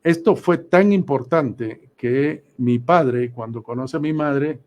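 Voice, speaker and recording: Unhurried, mellow man in his sixties. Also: mid-range voice. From Guatemala. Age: 60s. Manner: measured, deliberate, with pauses. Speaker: interview guest. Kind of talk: reflective radio interview answers, recounting memories.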